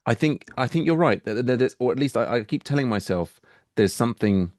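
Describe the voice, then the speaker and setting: A man hosting a podcast in casual chat, halting and restarting often, wandering into playful tangents. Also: mid-range voice, animated, a little mellow, no echo, clean studio sound. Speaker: podcast host.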